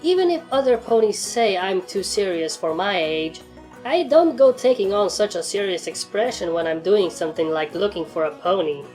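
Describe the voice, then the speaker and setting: A woman's voice: medium pitch, expressive, moderate pace, a little mellow, natural voice. Woman as a self-assured, precocious pony filly. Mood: nonchalant. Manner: nonchalant, argumentative. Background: music.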